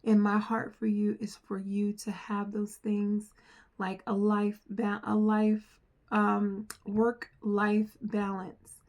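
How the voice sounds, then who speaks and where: A middle-aged woman from the United States, with an accent that mixes New Jersey, New York and North Carolina, talking earnestly to camera as a software trainer and repeating phrases for emphasis. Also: mid-range voice, monotone, slow. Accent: mixed New Jersey, New York and North Carolina. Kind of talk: earnest direct-to-camera talk.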